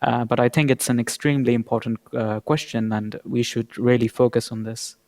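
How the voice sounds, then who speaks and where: A man speaks as a panelist, setting out his points in a considered way. His voice sits at a medium pitch. The recording carries a long, hall-like reverberation. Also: flat delivery, fairly quick, a little mellow.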